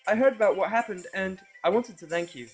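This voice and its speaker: A man voicing a shy, soft-spoken female cartoon pony with a tentative, gentle manner in a fan audio drama. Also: sits high, brisk, gravelly, slightly rough, soft background music, pitched above the performer's own voice, full character voice.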